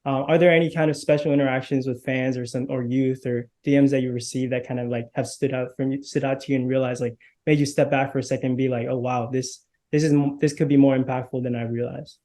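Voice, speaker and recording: A man's voice: mid-range voice, little pitch movement, quick pace, hardly any pauses, mellow. A male host running an interview, asking long, rambling questions full of "you know.". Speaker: interviewer, media outlet host.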